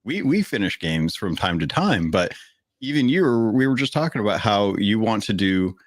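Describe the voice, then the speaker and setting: A man co-hosting a gaming podcast in a casual chat, with a deep voice and a steady, monotone delivery. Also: moderate pace, no room sound, clean studio sound. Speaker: podcast co-host.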